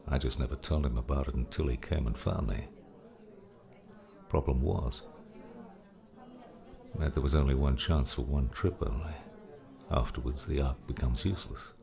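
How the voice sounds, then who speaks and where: A man acting a gravelly, very deep, cryptic mentor. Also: dark tone. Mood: grave, explanatory. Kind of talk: dramatized audiobook dialogue. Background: background music.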